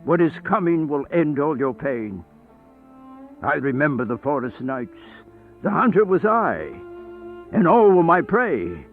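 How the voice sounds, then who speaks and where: A man's voice: a little high, very animated. An actor playing an aged, sickly male vampire, bitter and grandiose, in theatrical, declamatory radio horror style. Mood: brooding, menacing. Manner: theatrical, declamatory, drawn-out phrasing. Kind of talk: radio horror play acting. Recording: thin phone-like band-limited audio with music.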